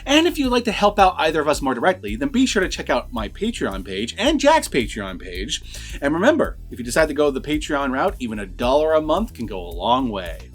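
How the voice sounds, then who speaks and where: A man's voice: fairly high pitch, wildly swooping pitch, a little fast. A man chatting informally and enthusiastically, unscripted, as host of a horror film review vlog.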